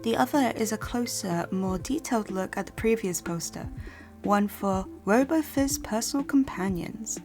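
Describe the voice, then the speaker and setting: A young woman gives a casual, scripted recap with a playful, sweary manner. Her pitch sits in the middle for a woman, and music plays behind her. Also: moderate pace, a little mellow. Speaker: co-host.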